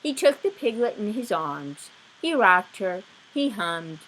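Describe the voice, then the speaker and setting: A woman reading a children's story aloud with expressive, deliberate narration. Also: mid-range voice, slight background noise, low-quality recording. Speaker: storybook reader.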